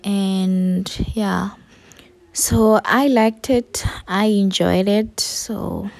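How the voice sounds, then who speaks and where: A woman's voice: on the low side, a little mellow, no echo. A woman with a South African accent doing a casual, easygoing vlog voice-over, as if thinking it through. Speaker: vlogger.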